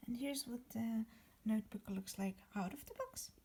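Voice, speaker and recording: A woman's voice: on the high side, normal speed, warm tone, husky. A young woman from Estonia, chatting enthusiastically in a casual vlog voice-over. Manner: chatty, enthusiastic, repeats "really". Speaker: vlogger.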